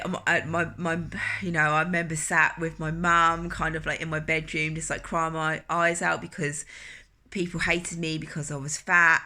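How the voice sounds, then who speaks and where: Woman, 30s, wellness coach; low-ish, gravelly, bright tone, nasal from a cold, earnest and halting. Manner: halting, earnest, many fillers and restarts. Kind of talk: personal vlog reflection.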